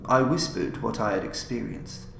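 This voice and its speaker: A man reading a horror story as a podcast narrator, in a deliberate, solemn, dramatic style. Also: on the low side. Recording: roomy, clearly noisy home recording.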